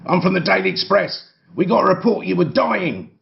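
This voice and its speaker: A man's voice: high voice, fairly quick, vocal fry, muffled recording, voice pushed up. A man reading an audiobook memoir, voicing a blunt, nosy and gossipy male tabloid photographer. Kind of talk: acted quotes in a memoir audiobook.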